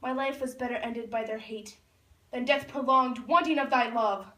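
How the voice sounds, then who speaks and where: A lovestruck young man in a puppet play , voiced by a woman, high in pitch, declaims ardent, poetic verse. The voice is recorded in a very echoey room, well away from the microphone. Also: fairly even intonation, normal speed, pushed and bright. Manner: declaiming verse, reciting from a script. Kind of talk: Shakespeare recited for a school puppet show. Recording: echoey room, far from the mic.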